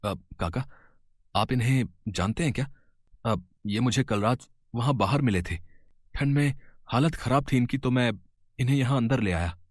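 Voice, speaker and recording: A kind but desperate young family man, voiced by a man in a storybook tale, pleading earnestly and quickening when worried. His middle-pitched voice is recorded close and dry. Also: dry recording, natural voice. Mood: puzzled. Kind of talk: storybook voice acting.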